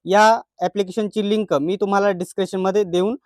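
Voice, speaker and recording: A man's voice: high voice, steady tone, fast talker, a little mellow, no room sound. Marathi man giving dry-sounding tutorial narration. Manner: instructional, repeats each step. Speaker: tech tutorial presenter. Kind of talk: step-by-step phone tutorial narration.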